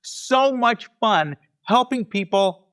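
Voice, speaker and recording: A man with a high-pitched, bright voice talks casually as an interview guest. He is earnest, often repeating his phrases for emphasis. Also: animated, takes it slowly, clear, resonant tone. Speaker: storytelling show producer and podcast guest.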